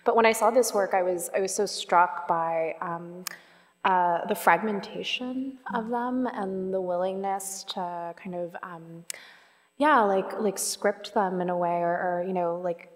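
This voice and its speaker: A woman's voice: on the low side, a little slow. Hesitant woman interviewer in a reverberant hall. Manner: tentative, many fillers and hedging "like". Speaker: art historian interviewer. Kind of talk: onstage interview. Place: lecture hall stage, seated in armchair.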